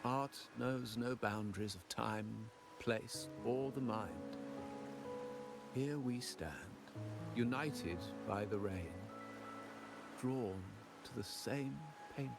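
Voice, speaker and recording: A man playing an elderly, courteous gentleman in a video game, musing in a formal, philosophical and gravelly voice. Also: mid-range voice, takes it slowly, loud music behind the voice. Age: older. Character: elderly cultured gentleman, courteous, musing, cryptic art lover. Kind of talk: scripted video game acting.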